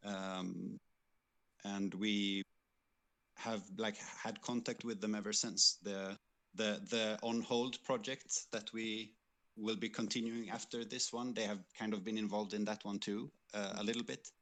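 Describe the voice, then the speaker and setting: A man's voice: on the low side, fairly even intonation, measured pace, fairly bright tone. A man co-hosting a livestream, talking informally and hesitantly as he recounts past work, repeating words while he searches. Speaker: livestream co-host and game developer.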